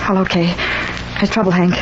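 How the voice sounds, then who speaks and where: Lady playing a fast-talking streetwise girlfriend, old radio play, muffled and hissy. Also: fairly low pitch, level tone, close to an everyday voice. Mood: urgent. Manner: blunt, slangy, urgent. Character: streetwise young woman, a boxer's worried girlfriend. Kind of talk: 1940s radio drama acting. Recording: dull old radio recording with hiss.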